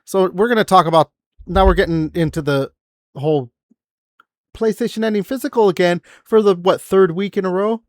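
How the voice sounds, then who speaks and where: A man's voice: high voice, moderate pace, dry recording. A man in his forties hosting a casual gaming podcast, rambling with lots of fillers and the odd swear. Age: 40s. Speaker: podcast host. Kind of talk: casual gaming news chat.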